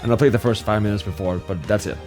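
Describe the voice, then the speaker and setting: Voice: low-pitched, fast, darkish tone, soft background music. A performer doing a casual let's play, reading the game aloud with playful, sweary asides. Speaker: game player reading all parts.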